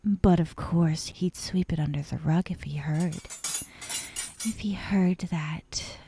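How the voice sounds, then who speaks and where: An obsessive young yandere woman, played by a female ASMR performer, speaks very slowly in a soft, husky half-whisper. She is sweetly menacing and sadistic, a possessive captor in an intimate roleplay drama. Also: low-pitched, exaggerated voice. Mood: playfully sadistic. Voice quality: soft, breathy. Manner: slow, breathy half-whispers broken by giggles.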